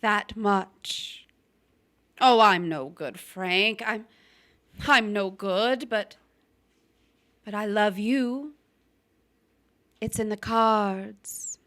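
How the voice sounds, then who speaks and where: Woman acting a slow-talking seductive schemer. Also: mid-range voice, a bit bright. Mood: tender, confessing. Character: smart-talking, seductive, manipulative woman in love, plotting. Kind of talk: live stage radio-play acting.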